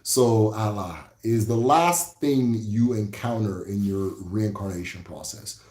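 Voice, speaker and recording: A man with an Igbo accent talks through a livestream lesson on spiritual ideas in a low, mellow voice. His delivery is relaxed and reflective, and he repeats key phrases and keeps checking in with "right?". The sound is roomy and echoey. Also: slow pace. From Igbo, Nigeria. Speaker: spirituality teacher hosting a livestream. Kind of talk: casual livestream teaching.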